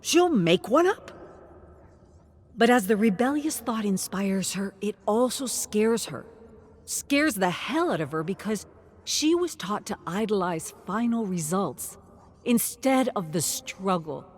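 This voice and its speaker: A woman reads a scripted story as narrator, with theatrical, deliberate delivery and emphatic short phrases. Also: mid-range voice, lively intonation.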